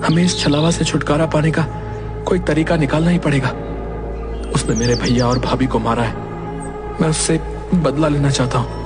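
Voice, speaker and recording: A man playing an anxious young villager bent on revenge in an animated horror story. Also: fairly high pitch, moderate pace, long pauses, over music, natural voice. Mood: vengeful.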